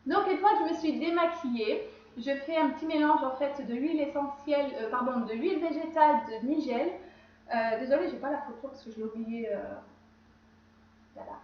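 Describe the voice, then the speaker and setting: Woman, stuffy from a cold, rambling vlog chat; high voice, slightly dull sound. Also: a little fast, very echoey. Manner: chatty, rambling, often corrects herself. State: has a cold. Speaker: beauty vlogger. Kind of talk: casual skincare routine chat.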